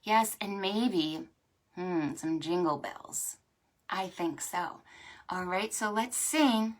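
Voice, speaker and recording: A woman hosting a storytime for preschoolers, talking to small children in an animated, sing-song way. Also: fairly low pitch, slow pace. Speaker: children's librarian and storytime host. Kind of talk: preschool storytime with stretches and songs.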